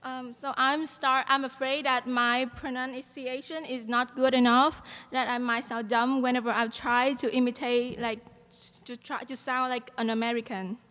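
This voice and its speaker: A young Vietnamese-accented woman speaks earnestly at a podium microphone and fills her pauses with soft "um"s. Her voice sits fairly high. It rings out with a long reverb through a large hall. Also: level tone. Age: young adult. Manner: earnest, with frequent "um" fillers and light laughs. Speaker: student speaker at a graduation. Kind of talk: commencement speech.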